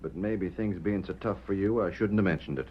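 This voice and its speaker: An actor playing a genial small-town stage line manager in an old radio western, with folksy talk. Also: male voice, low voice, fairly quick, breathy edge. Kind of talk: 1940s radio western acting. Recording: dull vintage radio recording.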